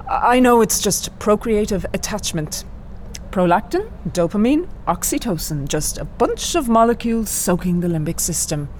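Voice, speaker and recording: An actress playing a lovelorn, haunted middle-aged psychologist in a radio drama, halting and confessional. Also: female voice, medium pitch, sing-song, slight background noise. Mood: bitter, wry.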